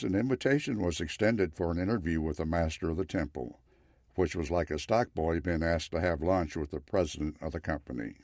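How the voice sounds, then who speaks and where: A man narrating a scripted story in a measured, wry way. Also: low voice, a little mellow, no room sound, clean studio sound.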